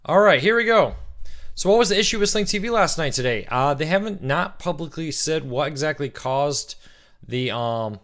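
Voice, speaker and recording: A man with a slightly rough, worn voice after a weekend of cheering, hosting a relaxed live-stream Q&A. He chats easily, greets viewers by name and works through their questions one by one. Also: on the high side, normal speed. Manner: chatty, reads out viewer comments and greets them by name.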